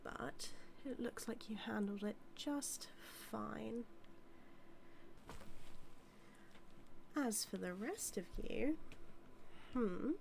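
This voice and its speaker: A woman's voice: on the high side, slow, slight background noise. A woman playing a motherly mad scientist villain with a British accent, speaking fondly and theatrically to her monster in an intimate audio roleplay. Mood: fussing, fond. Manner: fond, theatrical, musing, softly dipping into breathy asides. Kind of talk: scripted roleplay audio, intimate monologue to listener.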